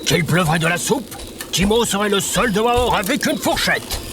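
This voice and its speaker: An actor plays a pompous, teasing companion in a comic audio drama. His high, lively voice moves around a lot, with clear ambient noise and birds behind it. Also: moderate pace. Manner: sententious, teasing. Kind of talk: comedic audio drama acting.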